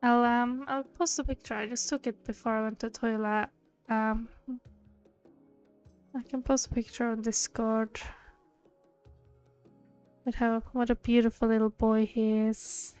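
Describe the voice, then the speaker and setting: Clear, chatty woman streamer, fairly high voice. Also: level tone, a little slow, long pauses, darkish tone. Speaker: game streamer. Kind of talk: casual livestream chat. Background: game music.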